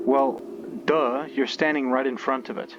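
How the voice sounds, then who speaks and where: A man giving casual, halting let's-play commentary, with muttered asides and grumbles. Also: medium pitch, steady tone. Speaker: game reviewer, player. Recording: dull sound, loud background noise.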